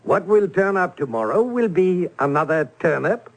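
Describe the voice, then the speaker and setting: An actor in an old-time radio drama playing a hungry, sardonic and excitable French painter with a raspy, creaky voice. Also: male voice, high voice, moderate pace. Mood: gleeful teasing.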